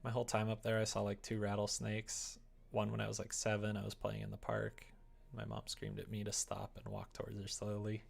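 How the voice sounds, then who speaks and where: A man's voice: lowish voice, completely flat delivery, brisk, vocal fry, slightly rough. A man live-streaming, chatting casually and easily with viewers in a rambling way, reading out their comments as he goes.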